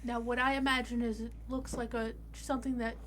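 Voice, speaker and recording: High-voiced woman bantering over a tabletop game. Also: flat delivery, fairly quick, fairly bright tone, a little hiss or hum. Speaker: tabletop roleplaying game player. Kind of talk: casual in-character game banter.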